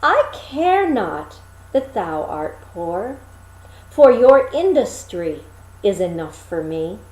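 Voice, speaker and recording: A woman voicing a grand, commanding storybook queen with stately, drawn-out, archaic phrasing. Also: mid-range voice, very animated, darkish tone, roomy sound, rough recording.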